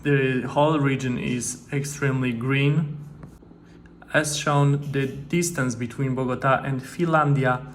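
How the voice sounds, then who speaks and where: A man hosts a travel vlog in a mid-pitched, level voice that hardly rises or falls. His English is steady and explanatory, dotted with fillers. A faint hiss runs underneath. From Poland. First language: Polish. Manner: steady, explanatory, with frequent "uh" and "guys". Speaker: travel vlogger.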